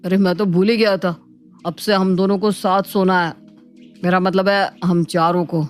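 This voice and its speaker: A woman's voice: on the low side, little pitch movement, moderate pace, close to an everyday voice. A woman voicing a naive, easygoing married elder brother in a cartoon family drama. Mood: sheepish. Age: adult. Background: faint background music.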